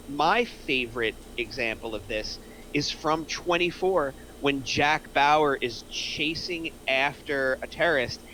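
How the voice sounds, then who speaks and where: A man's voice: on the high side, sharp tone, slight background noise, rough recording. A man giving casual, explanatory feedback in a group discussion, using examples as he goes. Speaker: script feedback panelist. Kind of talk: casual group critique of a screenplay.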